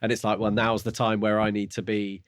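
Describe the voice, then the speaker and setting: A man with a fairly low voice talks casually as a podcast co-host. He muses as he goes, feeling his way through ideas. The sound is dry, with very little room in it. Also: flat delivery, a little fast, no room sound. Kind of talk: casual book discussion.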